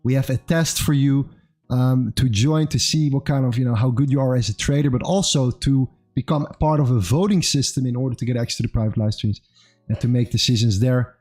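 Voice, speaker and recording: A man's voice: medium pitch, a little fast, darkish tone, faint music behind. A man in his late twenties hosting a live trading stream, upbeat and casual, calling people bro and saying amazing a lot. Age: 20s. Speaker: livestream host and trader. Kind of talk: casual livestream chat and market commentary.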